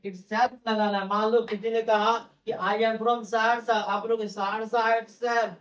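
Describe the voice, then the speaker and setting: A man's voice: high voice, little pitch movement, takes it slowly, fairly bright tone, distant mic. A male English teacher, lecturing a class and repeating his key phrases as he keeps questioning the students. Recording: roomy classroom, voice from off-mic.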